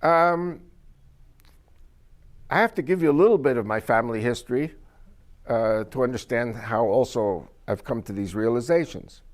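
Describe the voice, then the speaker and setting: A man's voice: medium pitch, moderate pace, pauses often. A man from New York whose first language is Spanish, talking casually and rambling a little, chuckling at his own jokes. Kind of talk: casual talk.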